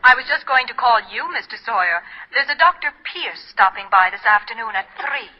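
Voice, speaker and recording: Professional woman, telephone filter, slightly high, dull 1940s radio sound. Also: animated, a little fast. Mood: businesslike. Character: composed professional woman speaking over the telephone.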